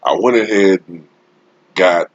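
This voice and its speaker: A man with a deep, husky voice talks slowly and emphatically, like an informal sports commentator addressing his online viewers. He stretches out his points in a casual, opinionated rant. The desktop USB microphone recording is close and dry but somewhat dull. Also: no echo. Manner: emphatic, informal, drawn-out delivery with frequent rhetorical tags. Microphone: Blue Snowball-type desktop USB microphone.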